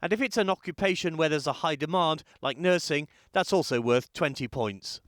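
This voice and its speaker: A man reporting as a political correspondent, with a measured, clear delivery. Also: on the high side, fairly bright tone, no echo, clean studio sound. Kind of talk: news report voice-over and interviewing.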